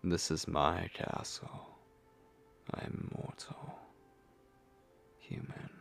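A man playing a possessive, commanding vampire lord in an ASMR roleplay, speaking in breathy, gravelly whispers with slow, menacing condescension. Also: low voice, heavily acted. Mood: haughty. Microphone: close microphone.

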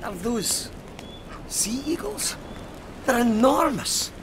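Righteous, haunted sergeant for radio play, male actor: high-pitched, lively, stubbornly defiant. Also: slow pace, noisy background, heavily acted. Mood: suspicious. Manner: intense, righteous, suspicious. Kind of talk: audio drama acting.

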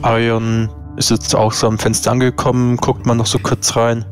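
Male tabletop player, medium pitch, casual delivery, soft music underneath. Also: monotone, moderate pace. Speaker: tabletop role-playing player. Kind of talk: casual role-play chat. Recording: music playing behind the voice. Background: background music.

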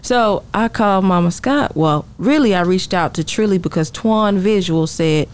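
A woman's voice: mid-range voice, moderate pace, a little mellow. A young woman chatting casually as a singer guest on a podcast, close on a studio mic. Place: small podcast studio indoors. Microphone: close studio microphone on arm.

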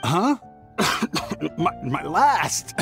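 A young traveling merchant from a video game, jittery and flustered. He talks slowly and hesitantly with stammers and wide swings in pitch, with faint music underneath. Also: very animated, creaky, fairly bright tone, exaggerated voice. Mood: uneasy. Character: nervous, jumpy young male traveling merchant. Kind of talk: video game character acting.

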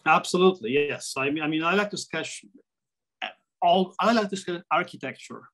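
A man's voice: fairly high pitch, normal speed, fairly bright tone. A middle-aged man from Poland with a Polish accent, talking as a guest on a video call interview, with many restarts and fillers. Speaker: interview guest, architect and sketcher. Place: small room at home, framed pictures on wall. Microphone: laptop webcam on video call.

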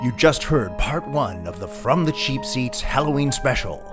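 A male announcer delivers a dramatic promo with a very animated, mid-range voice. Loud music plays underneath him. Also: medium pitch, normal speed.

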